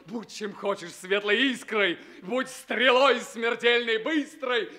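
An actor playing a bold, lovestruck young prince in a theatrical radio play. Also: male voice, very high-pitched, slow pace, sharp tone, heavily acted. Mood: ardent, defiant.

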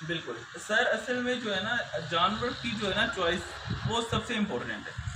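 A man's voice: high voice, big pitch swings, moderate pace, roomy sound, background noise. A young man explains things as a cattle farmer being interviewed, often repeating filler phrases, in an open cattle shed. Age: young adult.

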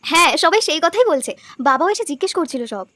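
Woman voicing a very high-pitched cheerful young village wife. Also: animated, quick pace, pushed and bright, dry recording. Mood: reassuring. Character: hardworking cheerful young village wife running a food stall. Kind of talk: cartoon dubbing.

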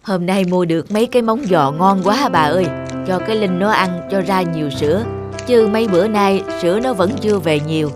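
A woman's voice: medium pitch, brisk, over music, lightly acted. A woman playing a chatty, friendly older neighbour in a cartoon, homely in manner. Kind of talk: cartoon dialogue.

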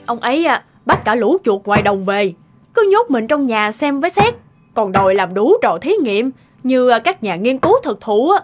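A woman's voice: a little high, very animated, normal speed, faint music behind. A woman playing a worried, nagging middle-aged village farmer's wife in a cartoon, fretful and scolding. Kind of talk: cartoon dialogue.

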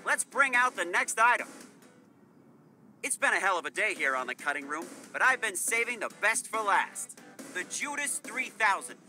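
A slick TV auction host in a video game, pitched high and hyped up. He sells with showy patter while music plays clearly behind him. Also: sing-song, normal speed, bright, forceful tone, heavily acted. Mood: showy, upbeat. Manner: showy salesman delivery. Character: slick, hyped-up TV shopping host selling knives.

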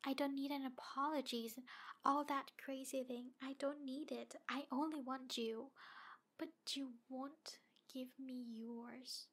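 A jealous demon woman, acted by a woman in an intimate ASMR roleplay. Her voice is high and slow, breathy and halting, pleading with obsessive devotion and often sinking toward a whisper. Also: steady tone. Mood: desperate, upset.

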